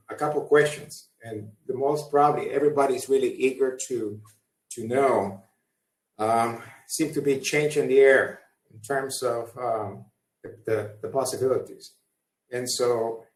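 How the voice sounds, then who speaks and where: A man moderating a panel, introducing guests with many fillers and restarts. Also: mid-range voice. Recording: echoey room, far from mic.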